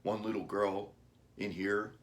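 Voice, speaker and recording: A friendly pet shop clerk from a children's storybook, voiced by a man reading aloud. He is polite and helpful, explaining things slowly in a lowish voice. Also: flat delivery, close to an everyday voice.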